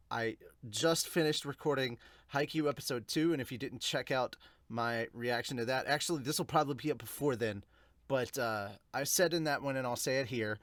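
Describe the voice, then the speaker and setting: A man's voice: mid-range voice, dry recording. A man hosting a podcast, chatting informally and directly to his viewers. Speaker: host of a manga reaction podcast. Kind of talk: casual chat to viewers and manga read-aloud with commentary.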